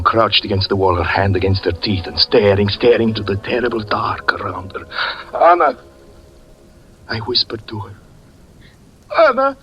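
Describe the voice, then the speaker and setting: A man's voice: fairly low pitch, dull audio. An actor in 1940s radio acting style, playing a haunted man who breathlessly recounts his own murder. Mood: pleading. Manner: intense, breathless dramatic narration.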